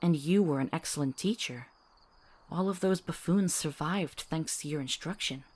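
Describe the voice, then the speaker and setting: Low-voiced, firm woman healer, acted by a woman. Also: normal speed, darkish tone. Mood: wry. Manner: articulate, authoritative, dryly witty. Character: firm, principled female healer, capable and wry. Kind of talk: fantasy audio drama acting.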